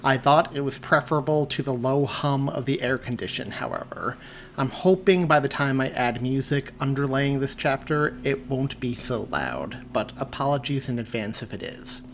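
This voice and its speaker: A man's voice: mid-range voice, normal speed, slight background noise. A man hosting a podcast, reading aloud a chapter of a story he wrote, with friendly, apologetic asides. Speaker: podcast host and author reading his story. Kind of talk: audiobook narration.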